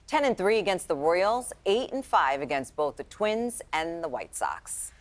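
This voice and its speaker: An American woman anchoring a news segment. Her voice is low for a woman, and she delivers scripted narration about sports. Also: big pitch swings, measured pace.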